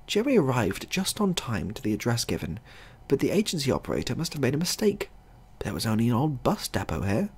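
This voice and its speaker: A man narrating a scripted comic short story as a storyteller, in a husky, gravelly voice. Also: mid-range voice, huge pitch swings, brisk, darkish tone.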